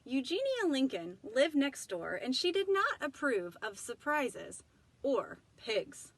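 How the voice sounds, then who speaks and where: A woman reading a children's storybook aloud with commentary, in an expressive, emphatic storytelling manner. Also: high voice, slow. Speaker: storybook reader.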